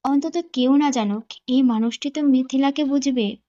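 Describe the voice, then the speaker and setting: A woman narrates a scripted romance in a dramatic storytelling style. Her voice is high, and the recording is a little dull and muffled. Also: normal speed, dark tone.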